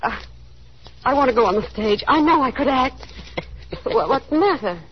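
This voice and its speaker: A woman's voice: sits high, lively intonation, measured pace. An actress playing a chatty, anxious young small-town woman putting on airs in a 1930s radio drama, with a gushing manner and nervous little laughs. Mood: wistful, brave front. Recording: dull, hissy 1930s radio recording.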